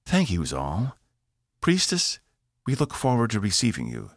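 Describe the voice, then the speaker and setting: A man voicing a confident woman starship captain, brisk and encouraging. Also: fairly low pitch, huge pitch swings, no echo, clean studio sound, pitched above the performer's own voice, heavily acted.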